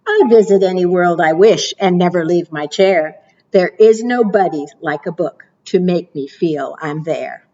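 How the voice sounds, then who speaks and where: A woman librarian reading picture books and leading rhymes for preschoolers in a slow, encouraging sing-song way. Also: on the low side, mellow, dull audio. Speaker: children's librarian.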